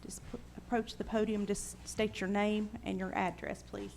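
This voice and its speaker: A woman chairing a county meeting, briskly calling speakers to the podium. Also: mid-range voice, little pitch movement, gravelly, darkish tone, a little hiss or hum. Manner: brisk, procedural.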